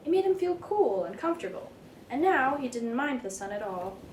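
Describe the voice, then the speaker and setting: A woman narrates a picture book for children with a highly animated, swooping delivery. Her voice is on the high side and expressive. The room adds noticeable echo, with a little noise underneath. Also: normal speed. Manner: animated, dramatic read-aloud delivery. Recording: roomy echo, background noise.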